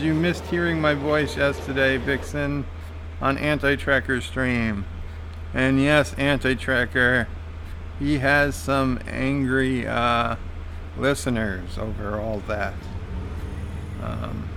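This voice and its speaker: A man talking at an unhurried, drawn-out pace as he reads his viewers' comments and replies to them. His pitch sits in an ordinary middle range, and he fills gaps with "uh". Faint background noise hums under his voice. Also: gravelly, fairly bright tone. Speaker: game streamer reading live chat. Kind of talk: casual live-stream commentary.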